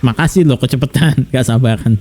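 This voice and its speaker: A man's voice: medium pitch, fast, darkish tone, faint music behind. A man with an Indonesian accent giving casual live commentary as he plays a video game. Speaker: game streamer.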